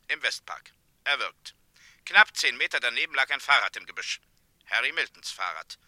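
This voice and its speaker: An actor playing a stern, controlled state prosecutor in a radio crime play, speaking in clipped, matter-of-fact phrases. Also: male voice, medium pitch, lively intonation, moderate pace, bright, forceful tone, no echo. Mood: cool, official.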